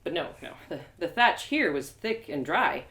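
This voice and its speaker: A woman reading a story live in an expressive, theatrical way, as host and narrator. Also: low voice, moderate pace. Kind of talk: animated first-person storybook narration. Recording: echoey room.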